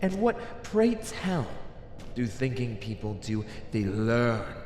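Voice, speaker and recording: An arrogant, cynical fantasy villain, played by a man, delivers a condescending roleplay monologue. He takes his time, stretching words out with mocking relish. His pitch swings widely from line to line, and long reverb hangs around the voice. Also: sing-song, darkish tone, slight background noise. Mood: smug lecturing. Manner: mocking, condescending, theatrical, drawn-out emphasis.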